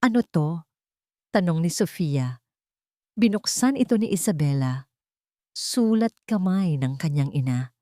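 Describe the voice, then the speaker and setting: A Filipino woman narrates a dramatic love story in a low register. Her tone is dark and mellow, and the recording is dry with hardly any room sound. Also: expressive, unhurried, long pauses, dry recording. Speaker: narrator of romantic stories.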